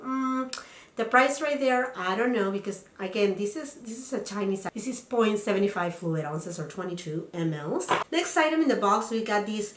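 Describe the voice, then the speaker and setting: Chatty woman, slightly raspy from a cold. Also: medium pitch, a bit bright. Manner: chatty, enthusiastic, rambling with fillers. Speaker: beauty vlogger. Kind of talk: casual unboxing chat. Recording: echoey room.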